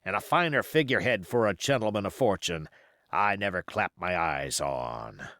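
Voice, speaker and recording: Guy doing a bossy boastful old-time pirate cook, lowish voice, swings up and down, salty dialect. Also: lively intonation, moderate pace, pushed and bright, no echo, studio quality, exaggerated voice. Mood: flattering. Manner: rustic seafaring dialect, emphatic. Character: sly, boastful, commanding pirate sea cook. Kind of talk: audiobook character voice.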